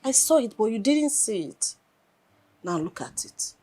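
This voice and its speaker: A woman's voice: deep voice, sing-song, normal speed, breathy edge, heavily acted. A woman playing a concerned, devout Nigerian woman in a film drama, admonishing with emphasis and urging hope. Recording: faint background noise and ambience.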